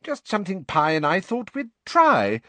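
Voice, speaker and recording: Brief: narrator as sheepish wizard dad, imploring, stammering. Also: male voice, sits high, huge pitch swings, normal speed, fairly bright tone, dry recording, pitched above the performer's own voice, full character voice. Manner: imploring, hesitant. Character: flustered middle-aged wizard father, sheepish.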